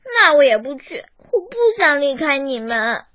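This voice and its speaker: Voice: high voice, sing-song, a little slow, sharp tone, dry recording, lo-fi sound, full character voice. A performer playing a devoted, stubborn and sensitive nine-year-old child in a radio drama, with a plaintive manner. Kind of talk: Chinese radio drama acting.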